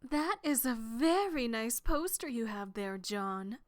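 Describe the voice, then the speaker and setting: A woman playing a touchy, teasing young female troll in a fan drama, with halting, defensive banter. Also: a little high, very animated, no echo, studio quality, faint music behind. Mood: hesitant.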